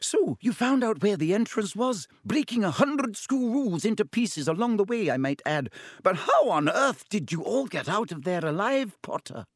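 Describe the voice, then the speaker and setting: Brief: stern older schoolmistress male narrator, clipped and pointed, up in pitch. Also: sing-song, moderate pace, husky, no echo, clean studio sound, heavily acted. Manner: clipped, prompting. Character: stern older female professor, strained and breathless.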